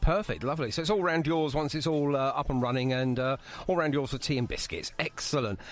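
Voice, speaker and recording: A man's voice: mid-range voice, a little fast. A British man hosting a casual, chatty band interview with a jokey, bantering manner. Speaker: radio podcast host.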